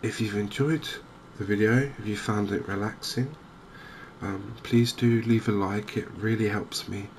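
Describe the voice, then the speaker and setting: A man gives gentle, softly spoken ASMR commentary on a game, chatty and unhurried with hesitant pauses. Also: on the low side. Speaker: gaming video host. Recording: clear steady background noise and ambience.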